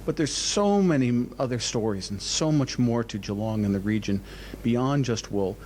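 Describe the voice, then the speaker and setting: A man giving explanatory interview answers as a museum director, enthusiastic but halting, often repeating words. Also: medium pitch, animated, a little mellow, a little hiss or hum.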